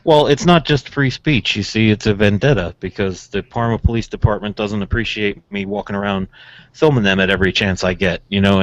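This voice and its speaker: A man's voice: fairly low pitch, no room sound. An American man hosting a radio show in casual chat, rambling informally through his stories. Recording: dull, lo-fi audio.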